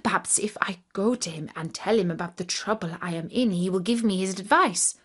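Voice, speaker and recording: A woman's voice: medium pitch, fairly quick, breathy edge, lightly acted. A woman voicing an anxious old male monkey in a children's fairy tale, pleading and lamenting theatrically. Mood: hopeful.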